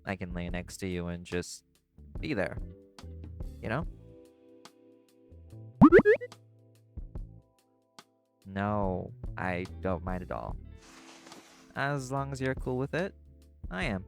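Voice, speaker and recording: A man playing a laid-back, caring vampire in an audio roleplay, talking the listener toward sleep in a casual, gently instructive way. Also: low voice, big pitch swings, measured pace, gravelly, pauses often, faint music behind, full character voice. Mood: casual, reassuring. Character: laid-back male vampire companion, teasing yet caring.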